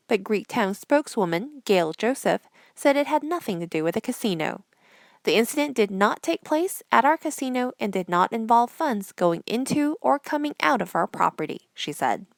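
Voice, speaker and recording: A woman presenter reading scripted news headlines in a measured, formal newsreader delivery. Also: fairly high pitch, creaky, no room sound, clean studio sound.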